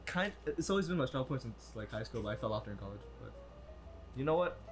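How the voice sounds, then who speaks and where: A young man, a guest on a friend's podcast, talks fast with a lively, up-and-down voice. He leans on fillers and lets his sentences fade quietly. Music is clearly audible behind him. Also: mid-range voice. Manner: filler-heavy, trails off quietly. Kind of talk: casual chat.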